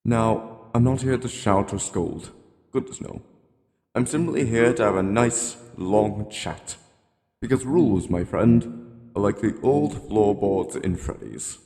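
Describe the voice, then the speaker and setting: A man voicing a genteel, rambling elderly animatronic hippo from a game, politely ominous and gently admonishing in a slow, courtly monologue. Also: on the low side. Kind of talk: scripted character monologue. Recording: long cavernous reverb.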